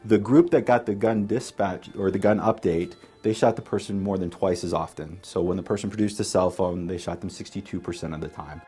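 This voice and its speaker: A man's voice: low voice, fairly even intonation, brisk, hardly any pauses, dark tone, breathy edge, faint music behind. A man, a researcher and former police officer, explaining things in an interview.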